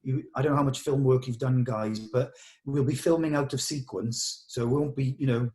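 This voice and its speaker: A man with a soft-edged, mellow voice at an ordinary male pitch. He talks casually like a director briefing his team, explaining practical points carefully and considerately, often qualifying what he says. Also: fairly even intonation, normal speed. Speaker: film director leading a table read. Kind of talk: casual production meeting talk.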